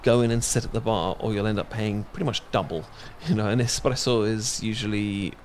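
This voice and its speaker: A man's voice: on the low side, brisk, slight background noise. A young British man in a romantic audio roleplay, talking shyly with many fillers and restarts. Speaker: ASMR audio roleplay performer.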